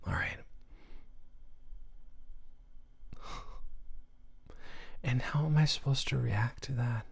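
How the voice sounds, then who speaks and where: A man's voice: medium pitch, fairly quick, creaky, breathy edge. A young man playing a friendly, slightly awkward college student in a roleplay audio, talking casually and hesitantly to the listener as a dormmate. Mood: tender, sleepy. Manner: rambling, animated, hesitant casual speech.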